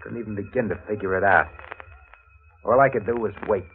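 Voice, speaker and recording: An actor playing a hardboiled, scheming drifter in 1940s radio noir, terse and slangy, heard on a muffled old recording. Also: male voice, on the low side, a little fast, soft background music, natural voice. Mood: brooding. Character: hardboiled, scheming male drifter narrating his crime.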